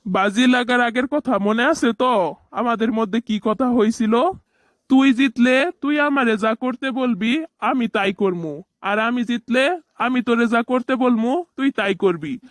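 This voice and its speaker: A very high-pitched cartoon village boy played by a man, smug and bossy. He taunts and gives orders in everyday colloquial speech. The sound is dry and close. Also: measured pace, no room sound. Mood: smug, teasing. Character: bossy, teasing young village boy who wins a bet. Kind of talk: cartoon voice acting.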